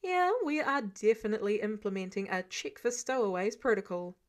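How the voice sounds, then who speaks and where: A woman's voice: medium pitch, lively intonation, fairly quick, natural voice. A woman voicing a wry, protective grown man, a superhero mentor with a casual, sardonic manner, in a story reading. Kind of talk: character dialogue in fan fiction reading.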